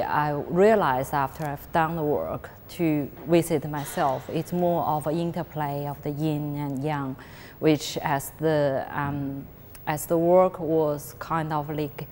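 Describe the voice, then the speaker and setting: Very low-voiced, slow, halting woman. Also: darkish tone. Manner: halting, searching for words, many restarts. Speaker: artist. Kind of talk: explaining her artwork in an interview. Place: gallery space. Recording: long reverb with faint background noise.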